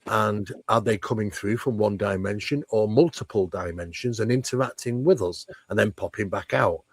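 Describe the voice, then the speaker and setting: A man with a fairly low voice talks like a researcher being interviewed. He explains things conversationally and at length, leaning on key words and posing rhetorical questions. The sound is close and dry, with very little room to it. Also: normal speed, no room sound. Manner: emphatic, discursive, poses rhetorical questions. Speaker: interview guest, paranormal researcher. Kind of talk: conversational explanation of paranormal topics.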